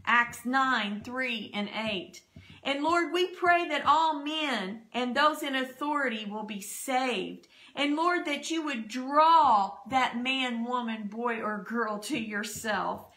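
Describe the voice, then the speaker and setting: Woman pastor, middle-aged, slow and bright, fervent prayer at a home desk mic. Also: on the high side. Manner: fervent, prayerful, citing scripture verses. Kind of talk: spoken prayer and testimony on a church broadcast. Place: home dining room. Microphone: desk podcast microphone.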